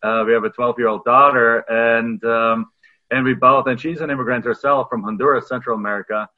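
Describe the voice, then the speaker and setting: A man's voice: mid-range voice, steady tone, a little fast. A man in his forties from Germany with a German accent, telling his story as a podcast guest. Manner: reflective, many fillers and restarts. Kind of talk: casual interview storytelling. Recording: phone-like remote audio.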